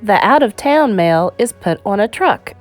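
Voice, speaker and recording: Woman reading aloud with lively intonation. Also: medium pitch, a little slow, faint music behind. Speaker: children's book reader. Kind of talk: read-aloud narration of an educational picture book.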